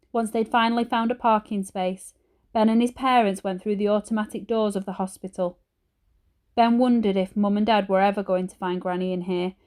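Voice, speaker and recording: A woman's voice: mid-range voice, darkish tone. A woman narrating a children's storybook expressively, like a teacher reading aloud.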